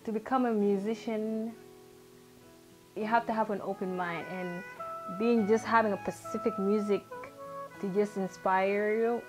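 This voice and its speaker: A woman's voice: medium pitch, steady tone, measured pace, over music, rough recording. A young woman from South Sudan, a musician, telling her story in an earnest, chatty way with fillers and restarts. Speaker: guest musician. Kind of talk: casual personal storytelling.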